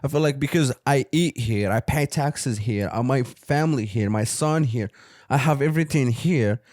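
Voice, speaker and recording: A man's voice: medium pitch, moderate pace, darkish tone, dry recording, studio quality. A man from Morocco co-hosting a podcast, talking casually and rambling, often tagging his points with "you know what I'm saying". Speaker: podcast co-host. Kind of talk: casual chat.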